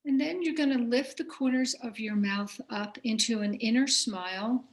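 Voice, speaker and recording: A woman leading a guided meditation as a teacher, giving gentle, unhurried instructions with pauses between phrases. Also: a little high.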